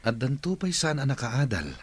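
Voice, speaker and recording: A man playing a grave, ailing businessman boss with a rough-edged voice in a radio drama. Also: mid-range voice, very animated, moderate pace. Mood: firm, persuading.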